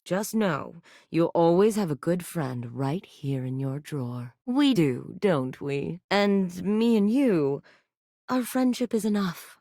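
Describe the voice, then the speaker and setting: A woman's voice: fairly low pitch, darkish tone, no echo, clean studio sound. A woman voicing a brash, flirty female sex-toy character in a dating game, teasing and swearing freely. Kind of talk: video game voice lines.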